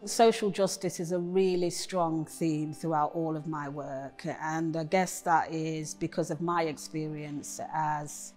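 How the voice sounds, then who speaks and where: A woman's voice: deep voice, a little mellow. A middle-aged woman poet from England, speaking candidly and reflectively in a documentary interview in a gallery room.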